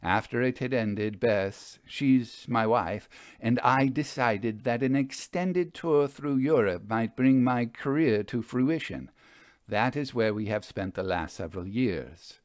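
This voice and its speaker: A man's voice: mid-range voice, takes it slowly, no room sound, clean studio sound, close to an everyday voice. A man playing a proud stage magician in a storybook, speaking in florid, formal phrases with coy hesitations. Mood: measured, boastful. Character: famous male stage magician, proud, theatrical, evasively apologetic. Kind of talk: dramatized audiobook storytelling.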